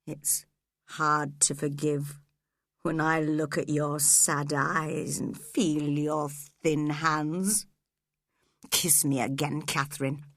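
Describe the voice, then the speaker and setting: A woman's voice: on the low side, takes it slowly, no room sound, studio quality, exaggerated voice. A woman reading a story voices a fierce, tormented young man crying out to his dying love. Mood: tender. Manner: wild, pleading, then hushed.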